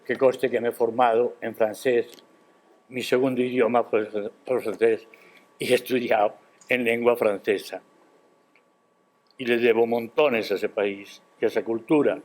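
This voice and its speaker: An older man giving a formal academic speech at a university ceremony, measured and emphatic, posing rhetorical questions. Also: mid-range voice, fairly even intonation, darkish tone, poor audio. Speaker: guest speaker at a university ceremony. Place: university auditorium.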